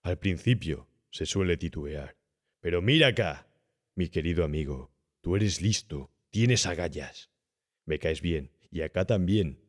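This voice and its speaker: A man voicing a cynical, calm, domineering young gentleman body snatcher who talks persuasively and condescendingly in an audiobook horror story. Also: deep voice, a little slow. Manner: smooth-talking, persuasive, condescending.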